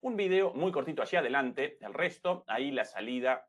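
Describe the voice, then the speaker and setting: A man's voice: medium pitch, lively intonation, fast, muffled recording. A man hosting a live political news commentary. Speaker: host of a political livestream.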